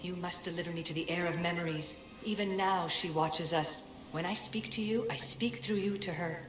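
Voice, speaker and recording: Casting: woman to play an ancient oracle-like game spirit, low-pitched, measured and commanding, heard in echo. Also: fairly even intonation, natural voice. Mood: solemn, commanding. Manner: solemn, measured, oracular. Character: ancient female spirit in a staff, cryptic and commanding. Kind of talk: video game cinematic acting. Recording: echoey voice over loud background music.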